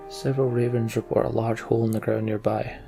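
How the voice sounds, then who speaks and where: A man's voice: medium pitch, level tone, quick pace, creaky, over music. A man hosting a let's play, commenting on a game and narrating its story.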